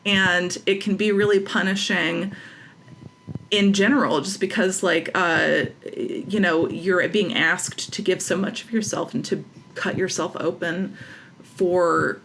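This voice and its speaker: A woman's voice: on the low side, steady tone, slow pace, a bit bright, a little hiss or hum. A woman chatting casually as an interview guest, in a giggly, self-deprecating way. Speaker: guest playwright and audio dramatist.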